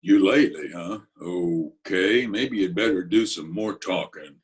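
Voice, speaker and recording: A man playing a laconic, scheming Broadway tough guy in an old-time radio drama, with a husky voice and terse, deliberate delivery. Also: low voice. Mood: terse, probing. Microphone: video call recording.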